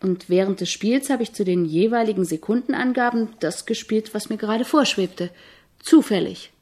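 An actress playing an eager, admiring composition student in a radio drama, speaking in an earnest, searching, deferential way with a husky voice. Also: female voice, mid-range voice, moderate pace, warm tone, lightly acted. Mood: earnest, unsure. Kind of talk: German radio drama acting.

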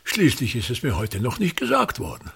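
An actor playing a pompous, bitter, tyrannical ageing actor-manager in a radio play, declaiming and haranguing with a husky voice. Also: male voice, mid-range voice, wildly swooping pitch, normal speed, pushed and bright, full character voice. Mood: demanding, vain. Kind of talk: theatrical radio play monologue.

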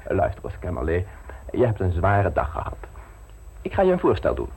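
An actor in a radio play, playing a calm, calculating blackmailer who speaks in cool, cutting phrases. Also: male voice, low voice, fairly quick, husky, full character voice. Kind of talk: radio drama acting.

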